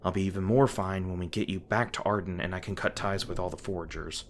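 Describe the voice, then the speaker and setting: Low male voice, man playing guarded monster hunter, weary, sardonic, gruff but caring, audio roleplay, some ambient noise behind. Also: steady tone, close to an everyday voice. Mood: resigned. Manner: halting, sardonic, swearing, reassuring. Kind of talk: audio roleplay, one-sided dialogue to the listener. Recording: noisy ambient sound effects behind voice. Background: ambient sound effects.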